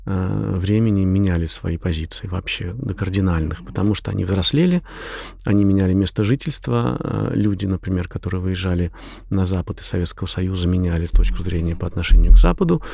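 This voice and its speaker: Gravelly dark-voiced guy, arguing hard in a podcast, big claims. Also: deep voice, fairly quick, no echo. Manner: argumentative, sweeping claims, rhetorical questions. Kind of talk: casual podcast discussion.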